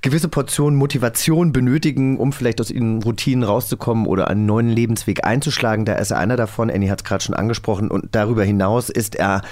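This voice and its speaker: A man's voice: lowish voice, fairly even intonation, quick pace, hardly any pauses, darkish tone. Chatty enthusiastic man. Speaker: podcast host. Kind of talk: casual chat.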